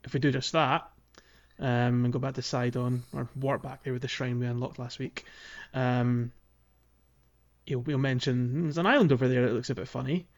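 Male podcast co-host, mid-pitched, hesitant informal game walkthrough chat, lo-fi sound. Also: steady tone, normal speed. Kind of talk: casual video game playthrough chat.

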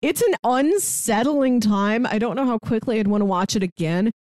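A woman co-hosts a film review podcast in a casual, conversational way. Her voice sits fairly high and moves very freely in pitch, and the sound is dry and close. She tends to act out imagined lines as she explains. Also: lively intonation, normal speed, a little mellow, no echo. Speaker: podcast co-host.

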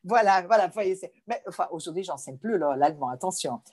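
A woman's voice: on the low side, expressive, fast, a little mellow, slightly rough. Woman. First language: Portuguese. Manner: searching for words, many restarts. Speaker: guest. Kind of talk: interview answers.

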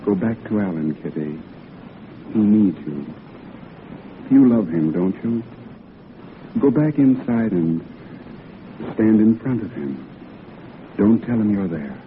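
An actor playing an earnest, protective gentleman friend, formal and emphatic in 1940s radio drama style. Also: expressive, slow, pauses often, a little hiss or hum. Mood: gentle urging. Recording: rumbly, phone-like old radio recording.

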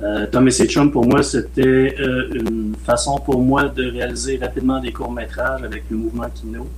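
Male, late forties, Québécois accent, relaxed rambling guest, lots of filler words, faint hum behind. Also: mid-range voice, moderate pace, rough recording. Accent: Québécois French. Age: late 40s. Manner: relaxed, rambling, frequent "euh" and "mettons". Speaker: film director guest. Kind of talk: casual podcast interview.

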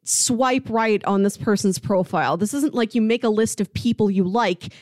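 A woman's voice: mid-range voice, moderate pace, darkish tone, dry recording. Woman chatting casually in dry close sound. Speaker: podcast host. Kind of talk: casual TV review chat.

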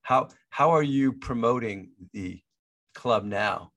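A man's voice: mid-range voice, slow pace, a bit bright, no echo. A man hosting a podcast interview, often repeating words and restarting his phrases. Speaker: podcast host. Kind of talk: interview chat.

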